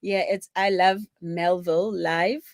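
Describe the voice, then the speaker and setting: Teasing woman in her forties, low, dry. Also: steady tone, a little slow, no room sound. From South Africa. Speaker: co-host. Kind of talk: casual chat while eating on a live stream.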